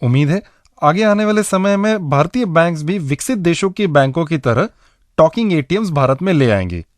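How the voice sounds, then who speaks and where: A man's voice: fairly high pitch, expressive, normal speed, no echo, studio quality. A man with an Indian accent presenting a radio show in scripted narration, addressing the listeners directly.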